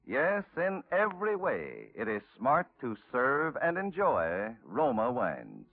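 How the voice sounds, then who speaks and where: A man's voice: mid-range voice, huge pitch swings, takes it slowly, no room sound. A man announcing a scripted radio commercial in a formal, emphatic 1940s style. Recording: dry, tinny 1940s radio recording.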